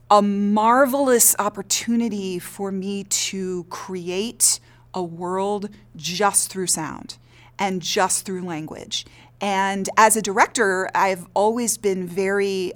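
Woman, average pitch, theater director, earnest explaining, reflective interview talk. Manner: earnest, explanatory, checks with "right?".

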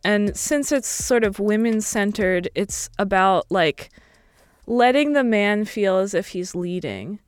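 A woman in her early thirties chatting casually as an interview guest, explaining things in a thoughtful, halting way. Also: medium pitch, clean tone, dry recording, studio quality. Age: early 30s. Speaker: podcast guest.

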